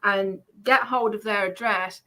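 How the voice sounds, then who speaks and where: A woman argues her point in a casual debate. Her voice is bright and forceful at an ordinary pitch. She is insistent, often saying a phrase over again. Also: steady tone, measured pace.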